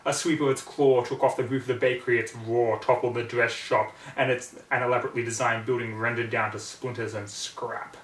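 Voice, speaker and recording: A man's voice: medium pitch, level tone, a little fast, hardly any pauses, a bit bright. Theatrical male storyteller in a roomy space. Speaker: reader of fan fiction. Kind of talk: dramatic story narration. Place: echoey room, away from the mic. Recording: roomy, distant mic, background noise.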